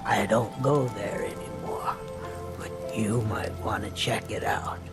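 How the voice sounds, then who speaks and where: An actor playing a cautious, wistful outsider in a video game, confiding in hushed, partly whispered tones. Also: male voice, lowish voice, fairly even intonation, unhurried, loud music behind the voice, lightly acted.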